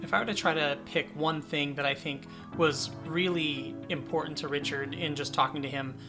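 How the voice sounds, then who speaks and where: A man's voice: on the high side, steady tone, moderate pace, over music. A man in his thirties hosting a conversational interview podcast and introducing his guest. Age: 30s. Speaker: podcast host.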